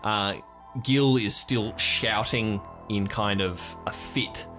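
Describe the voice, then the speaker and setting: A man's voice: fairly low pitch, steady tone, slow, over music. A man narrating an improvised audio drama as game master, describing scenes aloud as he builds them.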